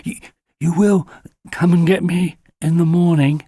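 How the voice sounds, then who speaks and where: A man's voice: on the high side, steady tone, a little slow, warm tone, dry recording, clean studio sound, voice pushed up. A man voicing a big, frightened male puppy hound who sobs and pleads. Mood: timid. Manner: sobbing, pleading, whimpering.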